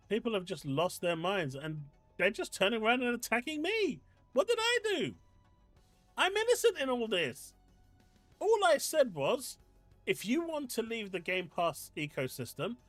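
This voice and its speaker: A man's voice: high-pitched, fairly bright tone, no room sound. A man with an English accent hosting a casual live stream from his desk at home, reading chat and ranting in disbelief. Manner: exasperated, ranting, incredulous.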